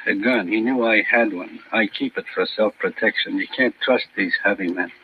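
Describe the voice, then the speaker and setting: An actor playing a nervy small-time thief turned informant, aggrieved and self-justifying, in slangy, clipped, insistent speech, in the style of 1950s radio crime drama. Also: male voice, fairly low pitch, moderate pace, close to an everyday voice. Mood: defensive. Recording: dull, noisy old radio recording.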